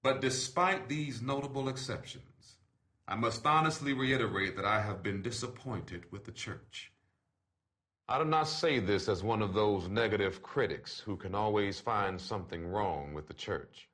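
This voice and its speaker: Guy with a fairly low voice reading a letter out loud, slow formal careful delivery. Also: lively intonation. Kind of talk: reading an open letter aloud.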